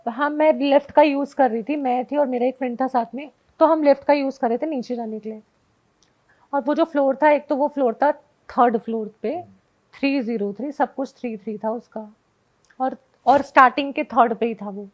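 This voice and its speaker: A young woman with a high voice tells a first-person story in a casual, conversational way. She slips English words into her sentences. The recording is dull and lo-fi. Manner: mixes Hindi with English words. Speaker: guest.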